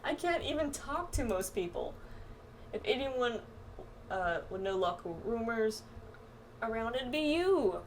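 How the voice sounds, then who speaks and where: A woman's voice: on the high side, very animated, unhurried, long pauses, slight background noise. Woman reading as a wistful young fantasy clanswoman, slightly distant. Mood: curious, teasing. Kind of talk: reading game dialogue aloud.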